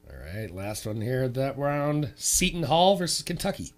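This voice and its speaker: A man hosting sports radio, brisk and easygoing, keeping the picks moving. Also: mid-range voice, big pitch swings. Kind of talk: casual radio chat. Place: radio studio.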